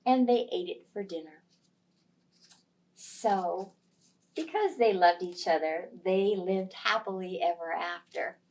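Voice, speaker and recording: A woman telling a story aloud to young children, with expressive, dramatic delivery and emphasis on key words. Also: mid-range voice, takes it slowly, distant mic, low-quality recording.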